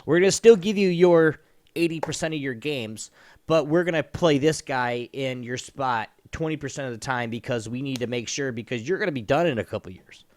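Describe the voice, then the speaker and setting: A man's voice: medium pitch, brisk, no room sound. A man co-hosting a podcast, chatting about sports in a blunt, informal and emphatic way. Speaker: podcast co-host. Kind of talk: casual opinionated sports chat.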